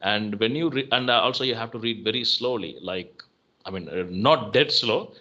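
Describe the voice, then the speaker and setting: A man with an Indian English accent chatting casually on a call, relaxed and full of fillers. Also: lowish voice, normal speed, muffled recording. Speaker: channel host. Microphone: call audio.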